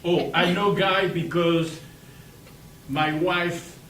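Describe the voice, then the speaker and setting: A man's voice: on the high side, slow pace, noisy background. An older man with a French accent, introducing himself at a group meeting in a joking way and laughing often. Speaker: meeting attendee. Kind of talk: casual self-introduction.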